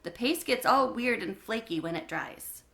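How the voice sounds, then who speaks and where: A woman's voice: a little high, expressive, moderate pace, vocal fry, a bit bright. Woman doing a blunt literal-minded boy. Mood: matter-of-fact.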